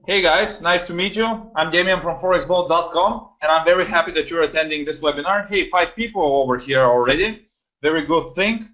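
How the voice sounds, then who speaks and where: Young male host, slightly high-pitched, chatty filler-heavy delivery, muffled webinar sound. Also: a little fast, a bit bright. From Bulgaria. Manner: chatty, greets attendees, many fillers. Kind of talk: live webinar talk. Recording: dull lo-fi webinar audio.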